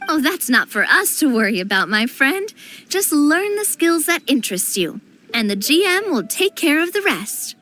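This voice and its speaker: A woman's voice: high-pitched, sing-song, normal speed, pushed and bright, heavily acted. A woman voicing a playful young woman who loves risk and teases her friends. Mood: teasing. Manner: playful, teasing, enthusiastic. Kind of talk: game voice acting.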